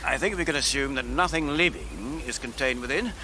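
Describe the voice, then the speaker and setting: An actor playing a brisk, self-assured gentleman astronomer in a period radio drama, clipped and wry. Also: mid-range voice, lively intonation. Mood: curious, wry. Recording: faint background noise.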